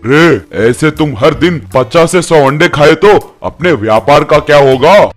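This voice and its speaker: A man's voice: fairly high pitch, lively intonation, a little slow, faint music behind. A man voicing an exasperated, scolding village father and worried businessman in a Hindi cartoon story. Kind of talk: Hindi animated moral story dialogue.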